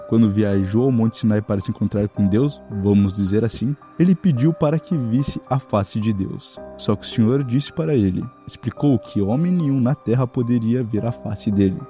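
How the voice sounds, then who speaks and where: Dark-voiced Brazilian man telling horror stories. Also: medium pitch, moderate pace, hardly any pauses. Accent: Brazilian Portuguese. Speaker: storytelling narrator. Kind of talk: horror story narration. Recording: music behind the voice. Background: background music.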